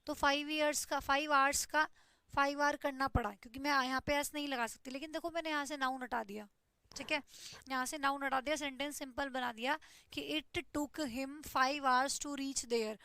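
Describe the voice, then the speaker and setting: A woman's voice: high-pitched, steady tone, normal speed, pushed and bright, dry recording. A woman teaches an online English grammar class, explaining step by step and checking in with "ठीक है". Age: 20s.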